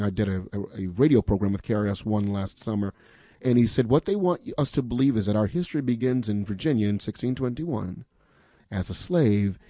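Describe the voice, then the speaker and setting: A man's voice: medium pitch, dark tone, no echo, studio quality. A man hosting a radio station fund drive. He makes an emphatic on-air appeal, asks rhetorical questions and keeps repeating a phone number.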